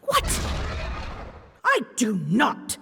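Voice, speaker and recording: A woman voicing an ancient, huge female dragon in a scripted roleplay drama, haughty and easily flustered, scolding her rider in formal, measured speech with hidden fondness. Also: sits high, huge pitch swings, sharp tone, heavily acted. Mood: flustered, defensive. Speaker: voice actor. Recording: long reverb, clear background noise.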